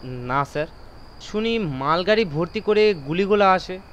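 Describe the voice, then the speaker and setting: Man playing a timid, astonished station master, high-pitched. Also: expressive, unhurried, fairly bright tone, a little hiss or hum. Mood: meek, bewildered. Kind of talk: dramatised horror audio story.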